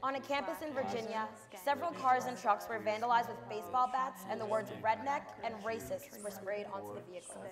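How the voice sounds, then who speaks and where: Young female stage reader, earnest, slightly high voice, hall reverb and audience noise. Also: a little fast, few pauses, a crowd in the background. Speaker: student stage performer. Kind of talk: scripted readers theater recitation.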